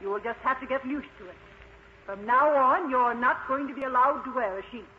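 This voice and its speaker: Man putting on a high stern lady ghost teacher. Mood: stern, scolding. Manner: strict, unforgiving, deliberate. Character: stern female ghost schoolteacher scolding a pupil. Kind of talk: storybook character voice in a puppet show. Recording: muffled lo-fi recording, faint noise.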